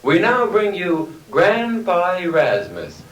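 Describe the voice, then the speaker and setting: Grandiose kiddie-show announcer, played by a man, drawn-out, lively delivery, echoey vintage radio sound. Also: high voice, full character voice. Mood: grand. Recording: noisy, echoey old radio recording, distant.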